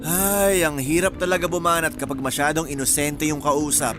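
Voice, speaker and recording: Guy acting as persistent lovestruck suitor, kinda high voice, jokey and flirty, radio drama w/ music. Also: level tone, normal speed, lightly acted. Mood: flirty teasing. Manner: teasing, flirtatious, easygoing. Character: persistent, cheeky, smitten male suitor, hardworking rice hauler.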